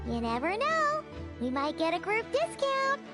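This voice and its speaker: A woman voicing a tiny, cheerful, bossy fairy companion in a video game, with a squeaky childlike voice. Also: a little slow, heavily acted. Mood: chirpy. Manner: speaks of herself in third person, excitable. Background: game music.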